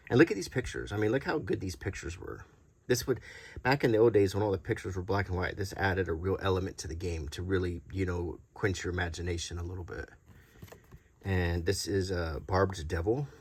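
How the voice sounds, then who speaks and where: A middle-aged man doing a casual, unscripted show-and-tell as a video host, talking in a halting, rambling, conversational way. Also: low-pitched, slightly rough. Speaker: YouTube host showing vintage tabletop game monster cards.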